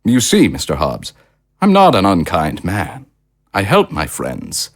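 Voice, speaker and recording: An actor playing a suave, self-satisfied political leader in an audio drama, with pompous, elaborately polite diction. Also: male voice, low voice, wildly swooping pitch, unhurried, full character voice. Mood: self-satisfied, persuasive. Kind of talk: theatrical audio drama acting.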